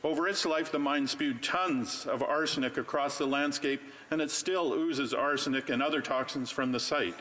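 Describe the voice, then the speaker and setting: A man's voice: mid-range voice, normal speed, few pauses, fairly bright tone. A man, a member of parliament, giving a prepared floor speech and pressing pointed rhetorical questions. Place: legislative chamber.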